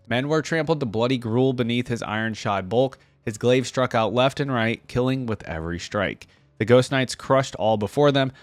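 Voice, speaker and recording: A man hosting a reaction, moving between casual commentary and expressive reading of fantasy stories aloud. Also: medium pitch, no echo. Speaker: online reaction host.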